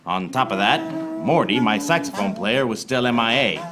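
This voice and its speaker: An actor playing a wry, hard-boiled private eye who narrates a parody noir radio serial in deadpan, recorded live on stage. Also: male voice, lowish voice, normal speed, over music, lightly acted. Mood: deadpan world-weary. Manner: deadpan pun-laden monologue. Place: small theater stage before live audience.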